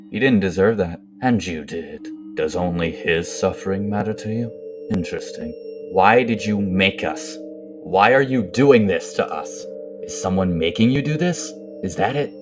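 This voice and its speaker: A man's voice: sits low, expressive, normal speed, music in the background. A man voicing a young skeleton brother in an emotional comic dub, bitter, fiercely protective and urgent. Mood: accusing. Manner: agitated, emphatic, urgent.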